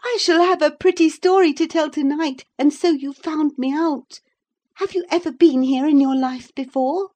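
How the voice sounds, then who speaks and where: A woman voicing a spirited, wilful thirteen-year-old girl who chatters and pouts. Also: close to an everyday voice. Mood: gleeful. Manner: high, bright and quick.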